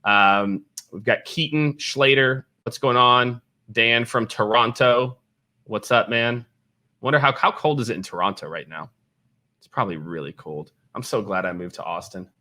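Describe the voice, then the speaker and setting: A man's voice: mid-range voice, moderate pace, bright, forceful tone. An American man hosting a casual livestream chat, talking informally and repeating phrases for emphasis. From Kansas City, United States. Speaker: host.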